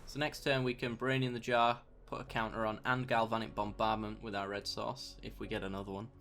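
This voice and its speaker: Young gamer, a bit croaky with a sore throat, relaxed let's-play commentator who talks through each move. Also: male voice, lowish voice, brisk, faint music behind. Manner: thinks aloud, mild self-talk, bursts of mock outrage. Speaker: gameplay commentator.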